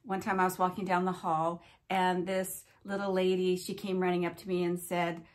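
A woman's voice: fairly low pitch, flat delivery, moderate pace. Chatty older woman vlogging from home. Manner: friendly storytelling, playful asides. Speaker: cruise vlogger host. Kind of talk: chatty countdown vlog. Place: small home room.

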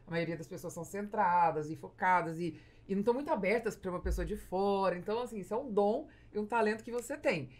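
A Brazilian woman hosts a podcast in a medium-pitched voice. Her delivery is conversational, spoken close to a microphone in a small padded studio. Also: quick pace, hardly any pauses, a little mellow. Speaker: podcast host. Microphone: studio microphone on boom arm, close.

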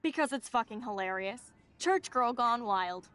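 A woman's voice: on the high side, lively intonation, measured pace, a crowd in the background. An actress playing a blunt, gossipy teenage girl in a video game, speaking candidly.

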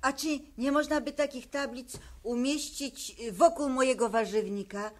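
An actress playing a polite, practical storybook gardener in a children's audio play, asking courteously and a little hesitantly. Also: female voice, high-pitched, moderate pace, lightly acted. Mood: polite, hopeful.

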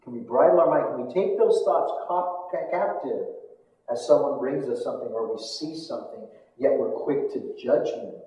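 An older man, a pastor with an American accent, preaching a conversational, informal sermon and repeating phrases for emphasis. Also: mid-range voice. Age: older, around sixties. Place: church stage in a hall, indoors. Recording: echoey hall from far off, slightly dull.

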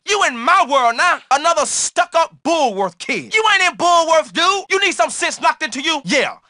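Casting: cocky young street-smart jock for a video game, bright punchy voice, very animated, slangy, dry studio sound. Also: male voice, very high voice, moderate pace, no echo, heavily acted. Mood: annoyed. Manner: slangy, brash trash talk. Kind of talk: video game character barks.

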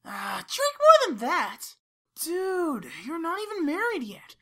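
A woman voicing a cheeky young male baby dragon, brash and excitable, in an animated radio play. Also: very high voice, takes it slowly, full character voice. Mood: brash, egging on.

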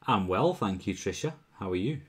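A young Welsh man with a deep, low voice hosts a relaxed home livestream. He talks easily and answers viewers' comments as they come in, speaking into a wired earphone mic in a small room. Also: moderate pace, husky. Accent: mild Welsh. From Wales. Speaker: whisky livestream host. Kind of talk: casual livestream chat. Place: small room at home, bottles on a shelf behind.

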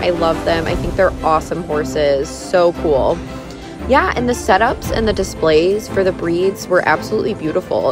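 Gushing woman vlogger over music. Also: on the low side. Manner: gushing, enthusiastic. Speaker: vlogger and horse owner. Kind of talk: casual vlog narration.

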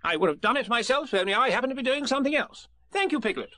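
A man's voice: expressive, sharp tone, no room sound, exaggerated voice. A man reading a storybook aloud, voicing a fussy, self-important male rabbit. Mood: bossy. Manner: brisk, self-important, high-pitched.

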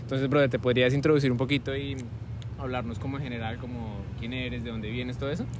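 A young man hosting a casual improvised interview on a campus, relaxed and chatty with frequent fillers. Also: medium pitch, fast, background noise. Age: young adult. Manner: relaxed, conversational, many fillers like "como" and "eh". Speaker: host, medical student interviewer. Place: outdoors on a university campus plaza with trees.

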